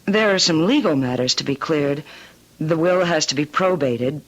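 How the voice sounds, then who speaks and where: A woman's voice: low-pitched, expressive, moderate pace, lo-fi sound. A woman with an American accent playing an earnest, determined, polite young daughter in a radio drama. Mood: polite, resolute. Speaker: actor.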